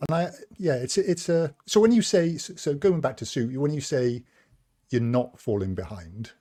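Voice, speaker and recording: A man's voice: mid-range voice, lively intonation, normal speed, mellow. A man co-hosting a casual podcast chat, chatty and self-deprecating, steering the questions around the group. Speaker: podcast co-host, moderator.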